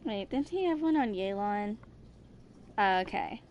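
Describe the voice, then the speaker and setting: A woman in her twenties giving casual, rambling game commentary, thinking aloud in a halting, distracted way. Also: medium pitch, expressive, lo-fi sound, slight background noise. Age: 20s. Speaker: gaming video creator.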